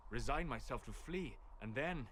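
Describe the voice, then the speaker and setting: An actor playing a calm, scholarly mage in a video game, speaking in measured, formal, reflective phrases. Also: male voice, mid-range voice, a little hiss or hum, close to an everyday voice. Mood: quiet wonder. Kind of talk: scripted video game dialogue.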